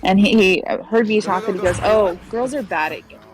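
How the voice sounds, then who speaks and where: A woman's voice: mid-range voice, normal speed, soft background music. A woman commentator chatting casually and telling stories with light laughs. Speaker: match commentator.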